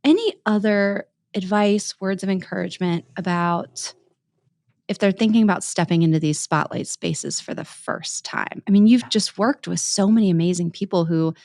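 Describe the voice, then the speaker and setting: A woman's voice: mid-range voice, moderate pace, darkish tone, no room sound. A woman hosting a podcast interview, speaking candidly and punching key words for emphasis. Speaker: podcast host. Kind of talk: conversational interview.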